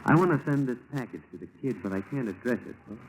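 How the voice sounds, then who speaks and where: An actor playing a friendly, casual undercover FBI agent in 1940s radio style, on a noisy old recording. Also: male voice, mid-range voice, animated, quick pace, close to an everyday voice.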